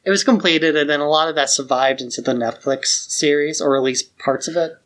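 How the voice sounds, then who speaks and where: Higher-voiced man chatting on a podcast. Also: brisk, fairly bright tone. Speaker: podcast co-host. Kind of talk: casual podcast discussion.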